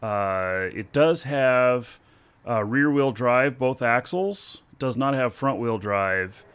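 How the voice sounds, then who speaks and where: A man streaming a video game, talking slowly to himself with many fillers as he works out what to do next. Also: mid-range voice, animated, fairly bright tone, clear, resonant tone. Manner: slow, thinking aloud with many fillers. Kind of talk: casual gameplay commentary.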